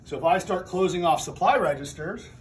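A middle-aged man gives a hands-on furnace lesson in a small indoor training room. His voice sits a little high, and he explains things step by step, often restarting a phrase. A faint hum runs under the phone recording. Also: expressive, brisk. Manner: plain, methodical explaining with frequent restarts. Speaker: HVAC trainer. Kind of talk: hands-on technical demonstration.

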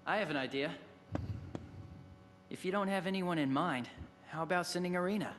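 A man's voice: high voice, normal speed, fairly bright tone. An actor playing an earnest, informal young male warrior in a video game cutscene. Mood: earnest, hesitant. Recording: clear background noise, game audio.

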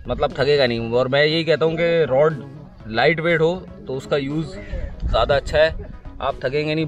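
A man in his thirties gives a relaxed, chatty fishing-gear review outdoors on a lakeshore. His voice sits a little high for a man and is picked up by a camera a few feet away. Music plays clearly underneath. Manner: relaxed, chatty, talks while handling gear. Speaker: fishing vlogger. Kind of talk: casual outdoor product review. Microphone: camera on a tripod a few feet away.

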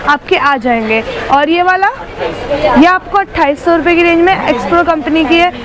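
A young woman with a very high voice chats through a street-market walkthrough, quoting prices and asking sellers what things cost. She records on a handheld phone outdoors. Crowd chatter and street noise fill the background. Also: moderate pace. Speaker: vlogger.

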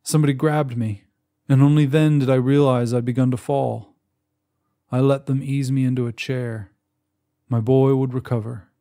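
A man narrating a first-person short story in a measured, expressive way with dramatic pauses. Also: medium pitch. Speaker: audiobook narrator.

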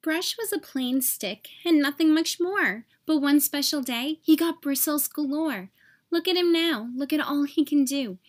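A woman's voice: high voice, measured pace. A woman voicing a kind, upbeat female twig who talks in an encouraging, emphatic way. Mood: reassuring.

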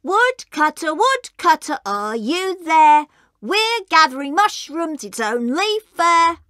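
A man voicing hungry, cheeky little wolf cubs in a children's story, in a squeaky, childlike, sing-song way. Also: sing-song, slow pace, pushed and bright, dry recording, clean studio sound, full character voice.

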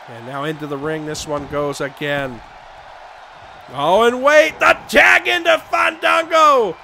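Man with a failing voice, high-pitched, gravelly, excited wrestling commentary, loud arena crowd behind. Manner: shouting excitedly over the action. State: losing his voice. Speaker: play-by-play commentator for a wrestling video game. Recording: game crowd noise behind voice. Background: video game arena crowd and sound effects.

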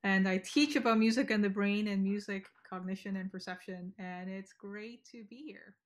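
A woman researcher speaks informally at a medium pitch. She is from Hong Kong and Canada and joins an academic chat by video call from a room at home. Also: fairly even intonation, moderate pace. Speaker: music researcher and professor.

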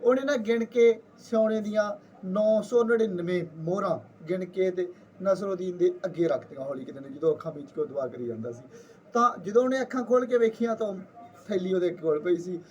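A man with a Punjabi accent and a high voice tells a folktale in a loose, colloquial style. He repeats himself as he goes, as if chatting. Some ambient noise sits behind him. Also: a little fast, a little mellow, recorded from a distance, highs cut off. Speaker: storyteller. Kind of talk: informal oral folktale storytelling.

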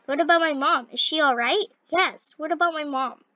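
A young female gamer with a scratchy voice, commenting on a game and reading its dialogue aloud in an excitable, halting way. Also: high voice, no room sound. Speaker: gaming video host. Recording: dull, lo-fi close microphone.

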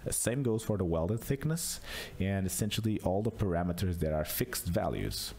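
A man narrating an unscripted screencast tutorial as a software demonstrator, explaining casually step by step. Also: low-pitched, very animated, moderate pace, gravelly, breathy edge.

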